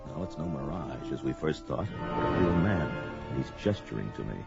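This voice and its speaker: A bold barbarian warrior, speaks very low with lively, declamatory swings. He is wary and mercenary, heard on a dull-sounding fantasy adventure record. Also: normal speed, creaky, full character voice. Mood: startled, bold. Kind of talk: 1970s audio drama record acting. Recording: dull vintage story record with music.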